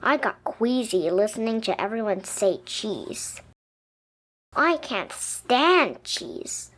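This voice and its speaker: A woman reading a children's picture book aloud expressively, with dramatic, emphatic pauses. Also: fairly high pitch, very animated, a bit bright. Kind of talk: expressive children's storybook narration. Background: music.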